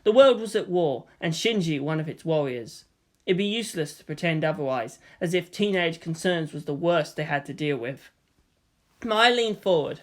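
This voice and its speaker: A narrator reading fan fiction aloud as scripted storytelling. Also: mid-range voice.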